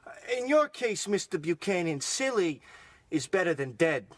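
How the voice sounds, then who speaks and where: A man's voice: sits high, sing-song, normal speed, no echo. A man playing a fussy, oddball doctor in a comic old-time radio style, hesitant and stammering, then grandly pronouncing. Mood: gravely advising. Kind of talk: parody of old radio drama acting.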